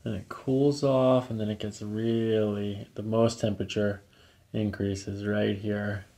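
A man in his thirties working as a chiropractor, calmly talking a patient through treatment with short, soothing, repeated instructions. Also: fairly low pitch, takes it slowly. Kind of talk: calm clinical talk with patient during ASMR adjustment. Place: small carpeted office treatment room. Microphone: microphone on boom stand nearby.

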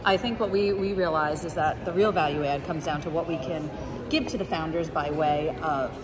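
A friendly woman in her thirties answers questions in a measured, slightly halting way, her voice sitting fairly low. She is picked up on a handheld phone in a large indoor lobby, with music playing behind her. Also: a crowd in the background. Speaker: guest, banker. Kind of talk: casual interview answers. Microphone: host's phone held selfie-style. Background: background music.

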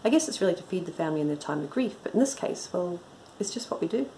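A woman narrator voices an older village lady in a storybook. She is kindly and unflappable, with a soothing, practical manner and a quick delivery. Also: fairly low pitch, animated, mellow, slight background noise, close to an everyday voice. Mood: mild, apologetic. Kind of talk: storybook reading.

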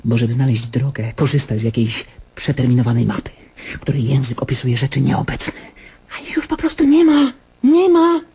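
An actor playing an anguished patient desperately searching for truth, intense and brooding, with a husky voice, in a radio drama. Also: male voice, medium pitch, sing-song, moderate pace, warm tone, slight background noise. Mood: intense, troubled.

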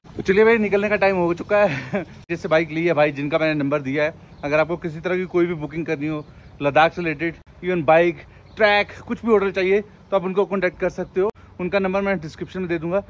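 Excited young man vlogging outdoors. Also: high-pitched, fast talker. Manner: chatty and excited, keeps saying "yaar". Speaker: travel vlogger. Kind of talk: casual travel vlog narration. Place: outdoors, riding a motorbike through a mountain valley.

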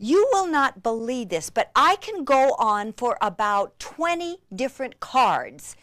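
Female craft demonstrator, breathlessly enthusiastic, unhurried delivery, close dry sound. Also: fairly high pitch, no room sound. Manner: gushing, breathlessly excited, with frequent gasps and exclamations.

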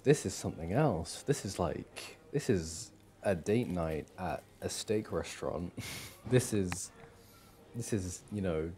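A man acting as a smitten young boyfriend in an audio roleplay, speaking in a lowish, unhurried voice. He is affectionate and halting, doting on his partner, with faint noise behind him. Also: dark tone. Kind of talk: boyfriend ASMR roleplay, acted one-sided conversation.

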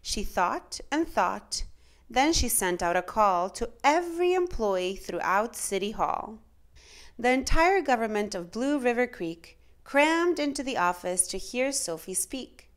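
A woman reading a children's picture book aloud in a dramatic, measured, expressive way. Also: a little high. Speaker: children's storytime reader.

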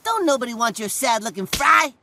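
A woman's voice: on the high side, big pitch swings, brisk, creaky, sharp tone, a little hiss or hum, exaggerated voice. A woman voicing a goofy, brash shapeshifter boy who talks in slang, in a cartoon.

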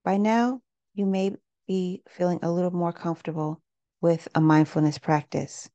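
A woman narrates a guided meditation in a low-pitched, soft-toned, mellow voice. Her delivery is gentle and measured, with unhurried pauses between phrases. The sound is close and dry. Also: fairly even intonation, no room sound, dull audio.

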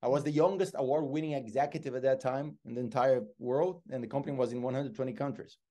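A man's voice: mid-range voice, quick pace. A middle-aged man with a Brazilian Portuguese accent, a motivational speaker and interview guest telling stories expressively over a video call from his home office. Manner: expressive, gestures a lot, lively storyteller. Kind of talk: animated interview storytelling.

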